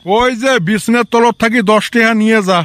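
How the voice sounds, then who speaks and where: A man's voice: very high-pitched, steady tone, moderate pace, a bit bright, no room sound. A man voicing a stingy, grumpy village father in a comedy cartoon, blunt and grumbling with a northern Bengali Rangpuri accent. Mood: irritated. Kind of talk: comic cartoon dialogue.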